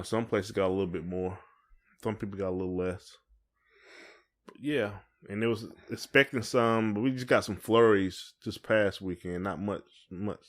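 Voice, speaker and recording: A man with a Southern US accent co-hosting a podcast, chatting casually and colloquially with playful teasing. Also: fairly low pitch. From United States. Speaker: podcast co-host. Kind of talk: casual couple's podcast chat.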